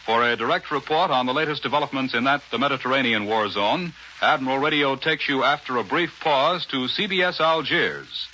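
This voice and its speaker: American male news anchor for vintage 1940s radio: bright, formal, crisp announcing, slightly noisy and dull broadcast recording. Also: mid-range voice, lively intonation, a little fast, few pauses.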